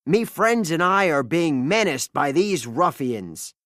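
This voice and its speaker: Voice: medium pitch, very animated, slow pace, a bit bright, no room sound, clean studio sound. A storybook narrator voicing a villainous adult male pirate captain with a pompous, theatrical manner. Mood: scheming.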